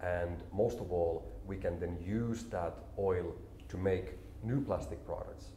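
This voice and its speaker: Deep-voiced man in his forties. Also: a little hiss or hum. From Finland. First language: Finnish. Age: 40s. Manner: calm, explanatory, measured. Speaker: company CEO, interview guest. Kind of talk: business interview. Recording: roomy echo, faint ambience.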